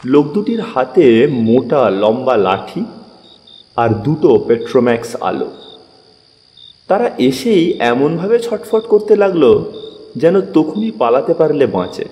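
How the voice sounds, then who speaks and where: Mellow male storyteller with long reverb. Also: mid-range voice, big pitch swings, a little slow, long pauses. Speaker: narrator of audio story. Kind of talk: dramatized first-person story narration. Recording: long reverb, music bed.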